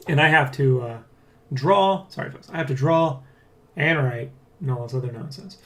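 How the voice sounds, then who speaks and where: A man hosting a casual dev blog, talking in a rambling, excitable way and often checking for agreement. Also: on the high side, measured pace, long pauses. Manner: rambling, keeps seeking agreement with "right?" and "you know?". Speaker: dev blog host and comic artist. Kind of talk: casual dev vlog chat, addressing viewers and co-worker.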